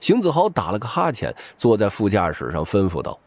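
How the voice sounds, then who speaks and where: Male audiobook narrator, low voice, lively swings in pitch, dramatic delivery. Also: fairly quick, a little hiss or hum. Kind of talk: dramatic scripted narration of a novel.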